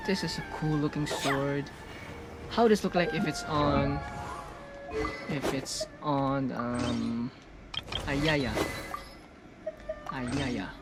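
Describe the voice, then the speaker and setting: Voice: medium pitch, slow pace, pauses often, loud music behind the voice. A gaming streamer reacting casually to gameplay, repeating phrases and drawing out exclamations.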